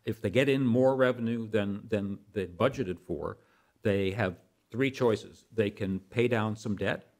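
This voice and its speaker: A man's voice: fairly low pitch, unhurried, darkish tone. Older man explaining emphatically in conversation. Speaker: policy expert guest.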